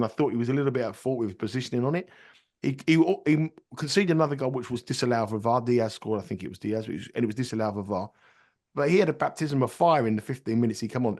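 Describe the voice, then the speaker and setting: A man's voice: mid-range voice, a little mellow. Man, blocked nose from a cold, casual podcast talk, repeats himself. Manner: rambling, repeats words and restarts sentences. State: congested with a cold. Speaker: betting tipster on a podcast. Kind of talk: casual betting analysis chat.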